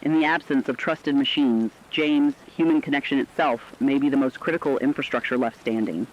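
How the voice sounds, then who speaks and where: A man playing a solemn radio news anchor, reading a scripted crisis bulletin in a measured, earnest, formal way. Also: medium pitch, lightly acted. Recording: dull lo-fi radio sound.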